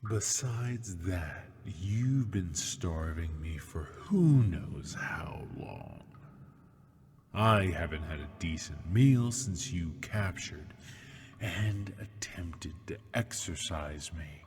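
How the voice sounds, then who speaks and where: A man playing a seductive, taunting demon prisoner in an ASMR roleplay, husky and breathy, teasing in mock-sweet tones and often sinking toward a whisper. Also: deep voice, animated, exaggerated voice. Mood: savoring, menacing. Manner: slow, teasing, mock-sweet, lingering on words. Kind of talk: ASMR roleplay, scripted monologue. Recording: long hall-like reverb.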